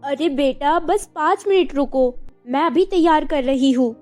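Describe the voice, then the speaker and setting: A woman playing an insistent older mother in an animated story drama, with music behind the voice. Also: high-pitched, unhurried. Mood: placating. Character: insistent older mother urging her widowed daughter on. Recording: background music over the voice.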